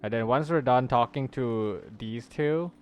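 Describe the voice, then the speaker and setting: A man's voice: medium pitch, unhurried, slight background noise. A man livestreaming a game, chatting casually and greeting viewers in a friendly way. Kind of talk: casual chat with stream viewers, reading chat aloud. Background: soft background music.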